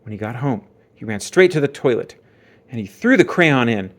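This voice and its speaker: A man reads a children's storybook aloud in a medium-pitched voice. His intonation is very animated, rising and dropping widely. He uses theatrical emphasis and suspenseful pauses throughout. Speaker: children's book read-aloud narrator. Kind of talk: dramatic scripted storytelling.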